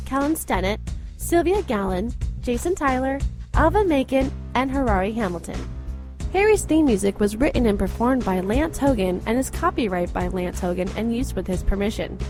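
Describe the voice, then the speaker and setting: A woman's voice: on the high side, animated, normal speed, a little mellow. A woman reading scripted closing credits as an announcer. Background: music.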